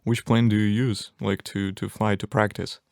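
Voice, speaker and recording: A male podcast host with a fairly low voice. He asks relaxed questions and pads them with fillers and restarts. The sound is dry and close. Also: moderate pace, creaky, no echo. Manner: hesitant questions with many fillers. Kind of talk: casual interview chat.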